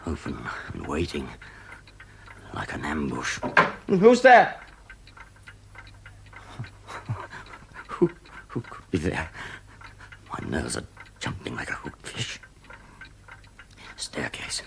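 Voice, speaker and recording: An actor playing a desperate, self-justifying murderer in a radio drama, brooding theatrically with sudden nervy outbursts. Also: male voice, a bass voice, wildly swooping pitch, slow, vocal fry, pauses often, noisy background, full character voice. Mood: nervous, searching.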